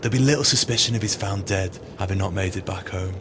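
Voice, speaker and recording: Actor as cold, scheming swindler, noisy background. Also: male voice, low voice, fast, lightly acted. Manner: wry, scheming, colourful phrasing. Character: cold, calculating male swindler plotting murder. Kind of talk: audio play acting.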